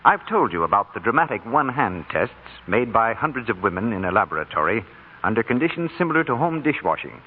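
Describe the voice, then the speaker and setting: A man's voice: on the low side, sing-song, fairly quick. A man announcing a 1940s radio commercial with a polished sales delivery. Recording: tinny, hissy old radio broadcast.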